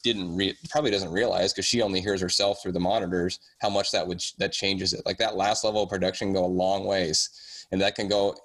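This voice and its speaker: Man, low pitch, podcast guest, casual chat, rambling, tentative, self-qualifying. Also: little pitch movement, fairly quick, a bit bright, low-quality recording.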